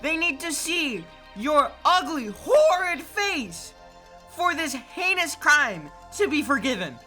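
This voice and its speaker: A woman's voice: high voice, sing-song, slow, sharp tone, faint music behind, heavily acted. A woman playing a theatrical, sarcastic scold who berates people in a comic audio drama. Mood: accusing, scornful. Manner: accusing, melodramatic, sarcastic.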